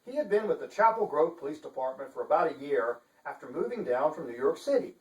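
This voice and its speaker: A man with a pompous, theatrical manner giving a deliberate dramatic reading as an author. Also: fairly high pitch, big pitch swings, dull audio. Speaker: author reading his novel. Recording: echoey room, distant microphone.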